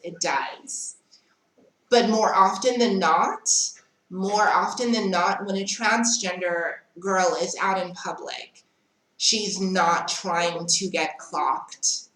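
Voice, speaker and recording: A woman's voice: medium pitch, slow pace, fairly bright tone, a little hiss or hum. A young South African woman telling a story in a casual vlog, chatty, frank and unbothered. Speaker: vlogger. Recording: echoey room.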